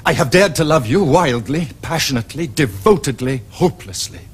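An actor playing an ardent young Victorian gentleman suitor in a period comedy, with earnest, flowery, theatrical Received Pronunciation. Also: a little high, animated, a little fast, a little hiss or hum, natural voice. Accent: English Received Pronunciation. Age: young adult. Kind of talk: period stage comedy acting for television.

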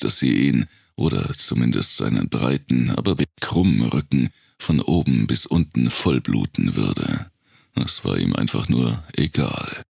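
A man with a gravelly, husky voice reading scripted audiobook narration of a dark thriller. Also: a bass voice, no room sound, studio quality.

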